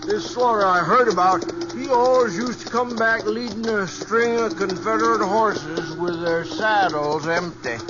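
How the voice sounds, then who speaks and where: An actor playing a nosy, shrewd old cowhand in a 1950s radio western, with a folksy American Western drawl. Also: male voice, sits high, a little slow, hardly any pauses, background noise. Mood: folksy, curious. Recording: muffled vintage radio, loud music bed.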